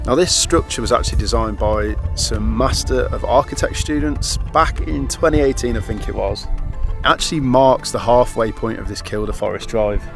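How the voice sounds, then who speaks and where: A man's voice: mid-range voice, normal speed, soft background music. Upbeat man vlogging outdoors. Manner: chatty and upbeat, loose with fillers. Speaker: travel vlogger. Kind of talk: casual travel vlog narration to camera. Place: outdoors on a road trip.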